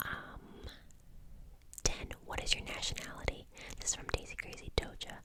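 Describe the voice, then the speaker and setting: A woman in her twenties from the United States, whispering an ASMR question-and-answer session in a chatty way with lots of "um" and "like". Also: normal speed. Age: 20s. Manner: soft unvoiced whisper, chatty with many fillers. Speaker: ASMR channel host.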